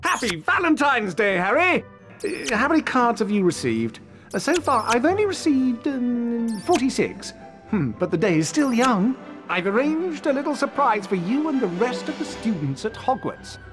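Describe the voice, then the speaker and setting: A man's voice: very high voice, sing-song, fairly bright tone, exaggerated voice. A man playing a vain, showy wizard professor in a video game, with a pompous, theatrical delivery. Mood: smug, gushing. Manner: pompous, theatrical, drawn-out hesitations. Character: vain, showy adult male wizard professor, pleased with himself. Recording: music behind the voice.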